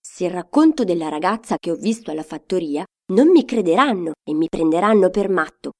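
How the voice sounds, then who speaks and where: Woman as a lively, earnest young prince. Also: fairly low pitch, fairly quick. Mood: lovestruck. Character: curious, lovestruck young prince.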